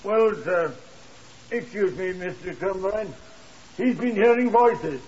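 High-pitched, deferential hotel worker. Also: male voice, lively intonation, slow pace, a little mellow, a little hiss or hum. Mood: earnest, deferential. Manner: hesitant, deferential, eager to explain. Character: earnest, deferential working-class hotel night man. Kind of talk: 1950s radio crime drama acting. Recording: muffled 1950s radio broadcast.

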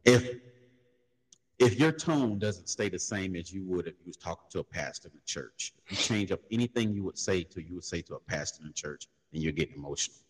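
A man talks in an easy, laid-back way and tells street stories. His voice sits low and a little husky. A long reverb trails behind every phrase. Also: animated, brisk. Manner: laid-back storytelling, slips into loud high mocking voices when quoting others. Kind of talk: casual panel discussion.

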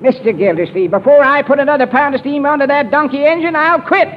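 A grumbling, stubborn engineer with a very high-pitched, rustic delivery. It is 1940s radio comedy acting, in a dull old broadcast recording with faint noise behind it. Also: male voice, quick pace.

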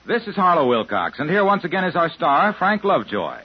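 A man's voice: a little high, very animated, fairly quick. A man working as a commercial announcer on 1950s radio, with a polished, declamatory sales delivery. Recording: dull 1950s radio recording.

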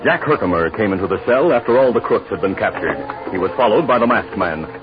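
A man's voice: lowish voice, lively intonation, quick pace, over music. A man announcing and narrating a 1950s radio show in a dramatic, emphatic style. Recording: dull old radio recording.

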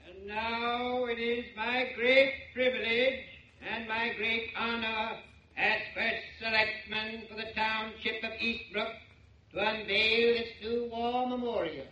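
A man's voice: very high-pitched, little pitch movement, pushed and bright, exaggerated voice. An actor playing a solemn, pompous town selectman giving a memorial address in 1940s radio drama style. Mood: solemn, grand. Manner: pompous, oratorical, slow. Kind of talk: 1940s radio drama acting, public speech. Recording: dull old radio recording, echoey, distant mic.

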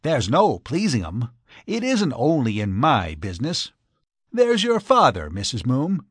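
A man voicing an aggrieved, energetic music publisher who complains emphatically in an audiobook. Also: a little high, no echo, clean studio sound, pitched above the performer's own voice, exaggerated voice. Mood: indignant complaint. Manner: emphatic, complaining, drawn-out stresses. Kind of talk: audiobook character voice.

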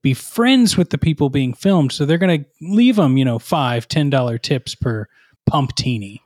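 A man's voice: on the high side, expressive, moderate pace, a little mellow, no echo, studio quality. A man hosting a podcast, interviewing a comedy character in a playful, wry way. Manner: playful, wry, goes along with the bit. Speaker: podcast host.

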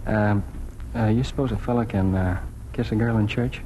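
A man's voice: lowish voice, steady tone, normal speed, mellow, lightly acted. A man acting an earnest small-town newspaper editor in a 1940s radio drama. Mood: shy, tender. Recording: noisy 1940s radio broadcast recording.

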